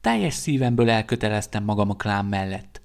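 A man's voice: on the low side, animated, gravelly. A man voicing a restless young male vampire guard who talks in an earnest, pleading way. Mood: earnest, eager.

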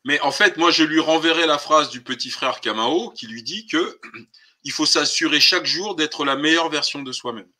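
A man with a very bright, sharp, fairly high voice hosts a political live stream. He speaks colloquially and insistently, driving points home with emphatic rhetorical questions. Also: moderate pace. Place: online group call.

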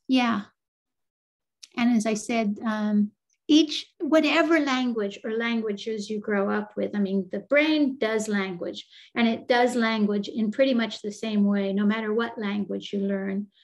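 A woman's voice: mid-range voice, slow pace, dull audio. Older woman explaining on a video call. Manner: thoughtful, explanatory, with frequent fillers and restarts. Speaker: developmental psychologist guest. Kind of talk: answering questions in a webinar.

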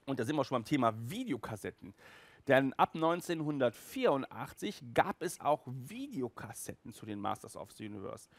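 A middle-aged man talks to camera as the host of a collector show. His voice sits a little high for a man. The delivery is casual, colloquial and keen, and the sound is dry, with very little room in it. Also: dry recording. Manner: colloquial, enthusiastic fan talk. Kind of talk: casual monologue to camera about nostalgic collectibles. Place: small room with shelves, indoors. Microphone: headset.

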